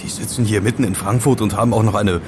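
Casting: male actor as young hacker, fairly low voice, wary and curt, always questioning, radio drama with noisy rumbling backdrop. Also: steady tone, fast talker, natural voice. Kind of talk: German audio drama acting.

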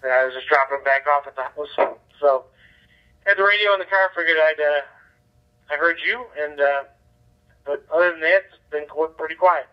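A man's voice: on the high side, normal speed, clean tone. A man chatting casually as an amateur radio operator over a digital two-way radio. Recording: muffled lo-fi digital radio transmission.

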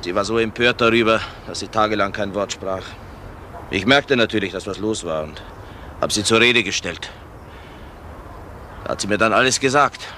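Wary middle aged dad, protective, sharp voice, crime radio play, slight background noise. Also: male voice, fairly low pitch, moderate pace, long pauses. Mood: indignant. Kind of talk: German crime radio drama acting. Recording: faintly noisy radio drama recording.

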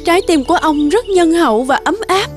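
A woman voicing a gentle, pregnant mother deer in a cartoon, pleading and tearful. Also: very high voice, lively intonation, brisk. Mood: grateful. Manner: tearful, begging. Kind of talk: cartoon voice acting. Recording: music behind.

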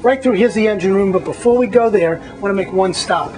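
A man's voice: high voice, a little fast, darkish tone, soft background music. A man, a magazine editor, walking viewers through a boat and pointing out its features one by one in an upbeat tour narration.

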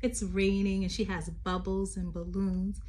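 A middle-aged woman reading a poem aloud to young children like a teacher, expressive and sing-song, with chatty asides. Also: mid-range voice, measured pace. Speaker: teacher reading to her class. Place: small room indoors. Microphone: phone at arm's length.

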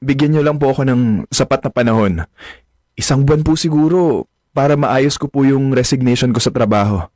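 An actor playing a dutiful, bashful grown son, speaking politely and respectfully in a radio drama. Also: male voice, mid-range voice, fairly quick, darkish tone, dry recording, studio quality, lightly acted. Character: dutiful, bashful adult son courting a woman.

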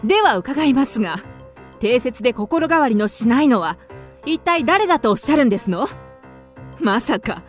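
Lady doing a haughty widow in a radio play, proper and formal, highish voice, faint music. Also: expressive, normal speed, close to an everyday voice. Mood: bitter, impassioned. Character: proud, grieving young widow, haughty and indignant. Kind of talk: radio drama acting.

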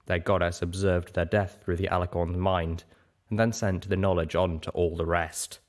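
A man's voice: deep voice, flat delivery, moderate pace. A man reading scripted first-person fiction as an audiobook narrator.

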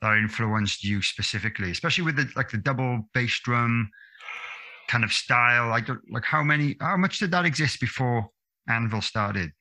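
A man's voice: mid-range voice, sharp tone. A man in his thirties hosting a podcast over a video call, chatty and upbeat. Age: 30s. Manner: upbeat, chatty, quick laughs. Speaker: podcast host. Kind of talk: friendly podcast interview. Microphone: webcam video call.

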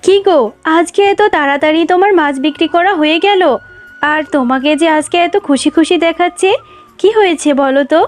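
A caring village mother fox from a children's cartoon, acted by a woman. Her voice is high-pitched and she is agreeable and homely, with soft music in the background. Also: a little fast. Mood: pleased. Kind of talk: Bengali children's cartoon dialogue.

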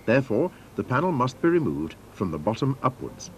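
A man's voice: mid-range voice, very animated, fairly quick, darkish tone, slight background noise. A man narrating scripted instructions for a training film in a formal, methodical manner.

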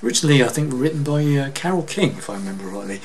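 A man's voice: mid-range voice, expressive, racing, a little hiss or hum. A man giving a casual guitar lesson in a small room, often checking in with "okay?" as he explains the chords. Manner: chatty, says "okay?" often, sings snippets over strumming. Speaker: guitar teacher. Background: his own acoustic guitar.

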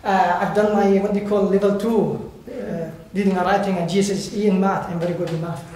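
Man, Iraqi Arabic accent, high-pitched, searching for words as he addresses an audience; heavy echo. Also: steady tone, dark tone. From Iraq. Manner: halting, searching for words in non-native English. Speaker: guest speaker, volunteer. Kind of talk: personal testimony to an audience. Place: bright indoor hall, at a lectern before a seated audience. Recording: very echoey hall, faint background noise.

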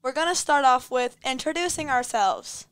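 A woman's voice: high-pitched, lively intonation, moderate pace, fairly bright tone, smooth, no room sound. A young teenage girl co-hosting a podcast, chatty and teasing. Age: teens. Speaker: podcast co-host. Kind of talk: casual chat.

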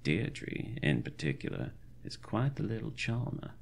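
A man playing a fussy, caring 1920s country doctor, reading his journal in formal, ornate, old-fashioned diction for a horror audio drama, with a deep, gravelly voice. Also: big pitch swings, measured pace, full character voice. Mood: fond, thoughtful.

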